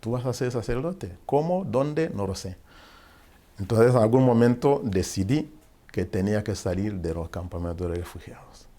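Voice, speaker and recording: A man in his forties from Rwanda, a priest who is an interview guest, recounting his life in a reflective way and pausing to search for words. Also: fairly low pitch, expressive, dark tone. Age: 40s.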